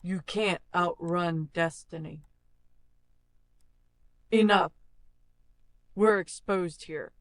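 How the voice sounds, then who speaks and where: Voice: fairly even intonation, very slow, pauses often, no echo. An actor playing a grim, commanding male cyborg in dramatic game-style lines, clipped and ominous, with digital robotic effects on the voice. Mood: grim, menacing. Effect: robotic digital pitch-shifted, chorus cyborg processing. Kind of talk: dramatic game character line reading.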